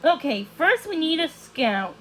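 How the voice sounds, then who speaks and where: A bossy little puffin from a storybook , acted out by a woman. The voice is high and confident, declaiming grand schemes with self-importance. There is faint noise behind it. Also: sing-song, a little slow. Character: bossy, confident male puffin hatching plans.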